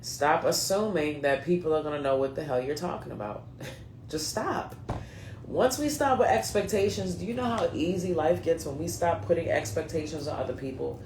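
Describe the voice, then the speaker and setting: A woman's voice: low-pitched, lively intonation, normal speed, a little mellow, slight background noise. A woman of nearly forty with a mixed US East Coast accent reads cards for her viewers. She talks in a loose, slangy, chatty way, addressing them directly. Her voice bounces around an echoey room. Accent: mixed US East Coast (New England, Philadelphia, North Carolina, Delaware). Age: late 30s. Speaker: card reader. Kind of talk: casual spoken card reading for viewers.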